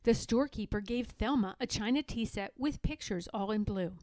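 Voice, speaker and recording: A woman's voice: mid-range voice, moderate pace, darkish tone, no echo, studio quality. A woman narrating a children's storybook expressively as a read-aloud storyteller.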